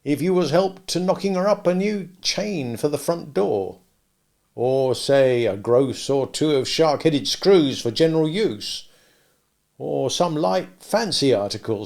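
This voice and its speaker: Man doing a kindly blacksmith, slow rustic speech, earnest, gravelly. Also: mid-range voice, darkish tone. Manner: slow, ponderous, rustic grammar.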